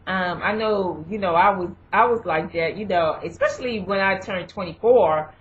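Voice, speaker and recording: Brief: young adult woman, lowish voice, rambling advice vlog, muffled lo-fi recording. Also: normal speed. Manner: rambling, leans on "you know" and "um". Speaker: vlogger. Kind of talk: casual advice chat. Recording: dull lo-fi recording.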